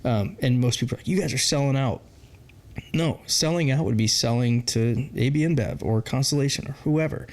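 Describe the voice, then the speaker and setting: A man's voice: medium pitch, fairly quick, darkish tone. A forty-year-old man answering interview questions as a brewery founder, candid and rambling, with lots of fillers and laughs. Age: 40s.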